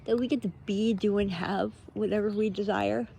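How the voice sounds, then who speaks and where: A woman giving an unscripted, reflective monologue while out walking in nature, thinking aloud in halting, drawn-out phrases. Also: mid-range voice, fairly even intonation, vocal fry, dark tone, a little hiss or hum, rough recording. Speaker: host of a personal walking monologue. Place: outdoors on a walk in nature.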